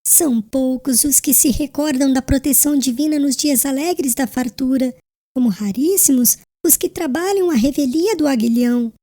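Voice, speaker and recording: A woman playing a gentle, devout, ailing young bride in a dramatized audiobook, speaking solemnly and tenderly. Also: high voice, moderate pace, natural voice. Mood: serene, earnest. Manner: solemn, tender, preachy formal delivery.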